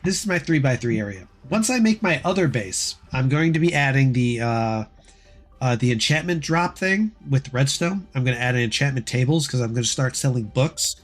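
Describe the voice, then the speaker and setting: A man chatting casually on a gameplay livestream, rambling through game steps, his voice dry and hoarse as if he is losing it. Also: medium pitch, lively intonation, moderate pace, soft background music. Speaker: game streamer.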